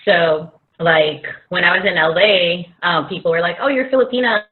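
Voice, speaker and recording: A woman from the Philippines with a slightly low voice chats as a guest. She speaks casually and reflectively. The sound is thin and phone-like, as heard over a video call. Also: level tone, a little slow. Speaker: guest, chef.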